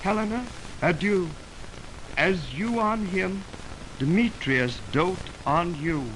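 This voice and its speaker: Romantic young suitor, high male voice, theatrical verse, tender and ardent, noisy 1920s radio recording. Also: expressive, slow, a bit bright, full character voice. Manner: declamatory, theatrical. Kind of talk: 1920s radio Shakespeare acting. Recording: noisy 1929 radio aircheck on film.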